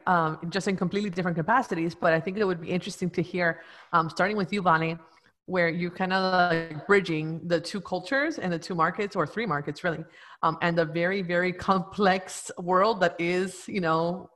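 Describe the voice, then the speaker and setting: Woman, slightly low voice, interviewer, casual questioning, informal halting phrasing. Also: a bit bright. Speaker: interviewer, panel moderator.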